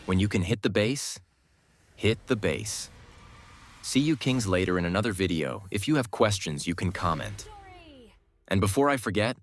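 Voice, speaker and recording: Male gaming guide narrator, lowish pitch, instructive delivery with cocky trash-talk flavor. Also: expressive, normal speed, long pauses, a little hiss or hum. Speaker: gaming guide creator, commentator. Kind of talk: scripted game guide commentary.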